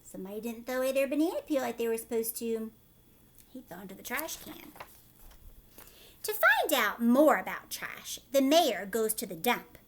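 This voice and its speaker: A woman reads a picture book aloud as a teacher would to young children. Her voice is high and swings widely in pitch. She breaks off for simple explanations and questions to the listener. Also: big pitch swings, moderate pace, slight background noise.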